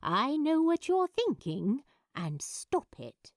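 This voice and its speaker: A woman's voice: sits high, big pitch swings, slow pace, no room sound, studio quality, pitched above the performer's own voice, heavily acted. Woman voicing a blunt, reassuring female friend. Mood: firm comfort.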